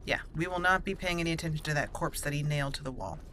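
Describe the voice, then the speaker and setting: A woman's voice: low voice, fast, a bit bright, slight background noise. A woman hosting a gaming channel, giving casual gameplay commentary in a chatty, playful way. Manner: chatty, playful, laughs at her own jokes. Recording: faint game music behind.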